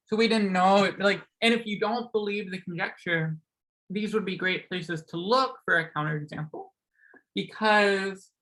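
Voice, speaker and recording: Bright-voiced young man with a sore voice. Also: sits high, rough recording. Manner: casual, many ums and likes, self-correcting. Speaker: seminar speaker. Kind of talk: academic math talk.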